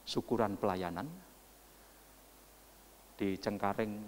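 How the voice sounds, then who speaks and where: A middle-aged man preaching as a pastor, conversational and repeating phrases for emphasis, moving between quiet asides and loud exclamation. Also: on the low side, steady tone, takes it slowly, a little hiss or hum. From Indonesian village. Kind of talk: sermon with congregational interaction. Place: church hall before a congregation. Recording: long reverberant hall echo.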